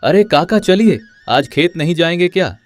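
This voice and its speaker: A man's voice: sits high, lively intonation, normal speed, dry recording, faint music behind. A man playing a plain-spoken, familiar village farmhand in a dramatized moral story. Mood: teasing.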